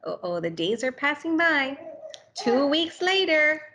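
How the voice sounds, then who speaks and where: Animated woman reading to children, higher voice. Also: a little slow. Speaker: read-aloud presenter for children.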